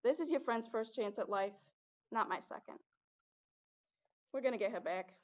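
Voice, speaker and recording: A woman's voice: on the high side, moderate pace, highs cut off, lightly acted. A young woman playing a jaded male spirit in a girl's body, talking streetwise in a student stage play. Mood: gentle, resolute. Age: young adult. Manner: streetwise, drops grammar, calls listener kid. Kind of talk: student one-act stage acting.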